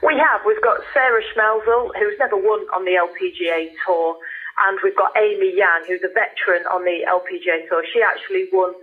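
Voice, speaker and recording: A woman's voice: medium pitch, fairly quick. A female golf broadcaster speaking conversationally as an expert guest. Her voice comes through a narrow, phone-like radio connection that sounds dull and lo-fi. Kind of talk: conversational sports punditry.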